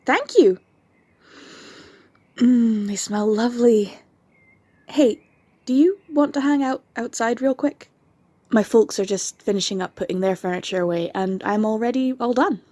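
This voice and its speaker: A woman's voice: fairly high pitch, unhurried, long pauses, a little mellow, dry recording. A sweet young vampire girl. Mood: playful. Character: young vampire woman, friendly new neighbor. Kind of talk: ASMR audio drama.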